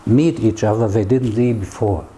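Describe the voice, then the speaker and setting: A man's voice: fairly low pitch, steady tone, moderate pace, warm tone. A man with a German accent answering conversationally as an interview guest, in short emphatic phrases often tagged with a questioning "yeah?". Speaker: interview guest, neighbourhood tour guide. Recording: clear background noise, ambient sound.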